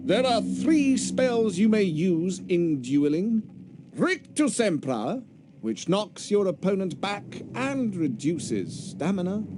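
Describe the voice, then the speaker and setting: A man's voice: high voice, noisy background. A man playing a flamboyant, self-important British wizard teacher in a video game, theatrical and pompous as he instructs.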